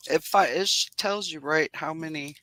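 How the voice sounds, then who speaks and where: Woman beekeeper w a soothing bright voice, chatty and patient, giggles a lot, dead dry sound. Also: deep voice, moderate pace, dry recording, low-quality recording. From Indiana. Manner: friendly, explains patiently, laughs often. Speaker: beekeeper guest. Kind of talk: casual interview chat.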